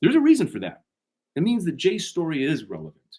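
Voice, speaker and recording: A man's voice: a little high, wildly swooping pitch, a little fast, dark tone, husky. A man, a guest author, talking in a casual interview with many false starts and repeated words.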